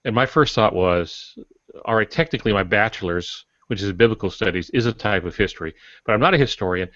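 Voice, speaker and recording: A man talking casually as an author on a podcast, recounting his experiences in a measured, anecdotal way. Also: fairly low pitch, lively intonation, fairly bright tone, dry recording. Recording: dull, dry close recording.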